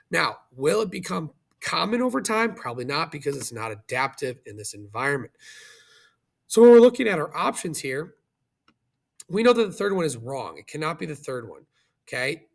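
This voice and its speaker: A man's voice: a little high, vocal fry, a bit bright. A man teaching in an explanatory video lesson, speaking instructionally and checking understanding with questions as he goes.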